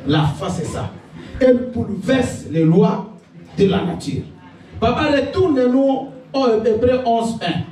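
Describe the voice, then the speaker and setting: A male pastor, preaching a sermon, shouting his key points and laughing through his stories. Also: extremely high pitch, a little slow. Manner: exhorting, laughing asides, shouted declarations. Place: church. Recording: echoey church hall, picked up from a distance. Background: congregation responding.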